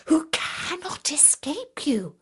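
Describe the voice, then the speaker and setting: A woman voicing a very old, kindly but sly grandmother in a fairytale, hushed and deliberate. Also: sits high, big pitch swings, whispered, pitched above the performer's own voice, exaggerated voice. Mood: coaxing.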